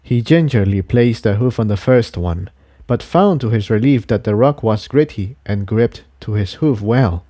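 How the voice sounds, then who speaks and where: A man reading a scripted adventure story as narrator in a measured way. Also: fairly low pitch, animated, warm tone, dry recording, clean studio sound. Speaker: audiobook reader of fan fiction.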